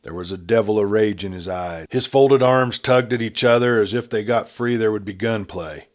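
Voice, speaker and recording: A man narrating a Western novel in a measured, quiet reading style. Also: fairly low pitch. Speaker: storyteller. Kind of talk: scripted story narration.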